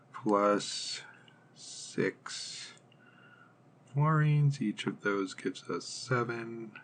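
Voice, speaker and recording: A man's voice: fairly low pitch, fairly bright tone, a little hiss or hum. A man teaching chemistry in an informal, step-by-step lecture style, methodically thinking aloud as he works through things.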